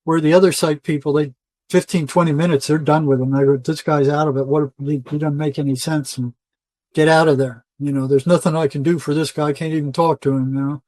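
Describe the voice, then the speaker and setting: A man's voice: on the high side, steady tone, brisk, a little mellow, no echo. An older man talking casually as an interview guest, telling rambling stories in colloquial words and voicing quoted dialogue. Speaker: interview guest, retired psychotherapist. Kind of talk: conversational storytelling, casual interview.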